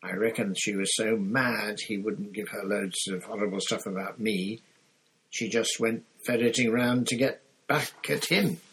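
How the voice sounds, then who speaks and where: A man's voice: medium pitch, normal speed, pitched above the performer's own voice, natural voice, low-quality recording. A man voicing an angry, indignant teenage schoolboy hero with a snapping, emphatic delivery.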